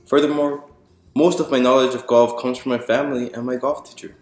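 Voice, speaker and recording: A man reading a picture book aloud to an audience in a clear explanatory, presentational way, putting questions to the listeners. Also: medium pitch, flat delivery, fairly quick, roomy sound, faint music behind. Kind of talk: storytime read-aloud to an audience.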